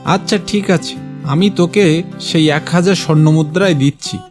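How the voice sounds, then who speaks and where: A man's voice: fairly high pitch, normal speed, over music, natural voice. A man playing a greedy, grasping village uncle in a cartoon moral tale, brusque and demanding. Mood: greedy, curious.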